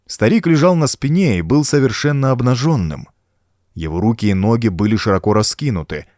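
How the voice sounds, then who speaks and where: A man narrating a horror story as an audiobook, reading the scripted prose in a suspenseful, dramatic and expressive storytelling manner. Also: lowish voice, no echo, clean studio sound.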